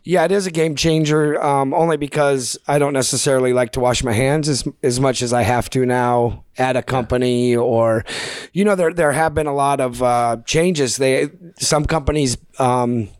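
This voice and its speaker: A man with a raspy voice, a guest on a casual podcast chat, talking in halting starts and restarts and laughing easily. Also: mid-range voice, steady tone, darkish tone, dry recording, clean studio sound. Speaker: guest correspondent.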